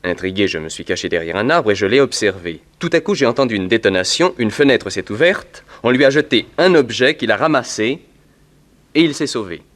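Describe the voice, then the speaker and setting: An actor playing an earnest, polite veterinarian who gives deliberate, orderly testimony in a 1960s French radio drama. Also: male voice, medium pitch, lively intonation, fairly bright tone, close to an everyday voice. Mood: earnest, helpful. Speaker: actor in a detective radio serial.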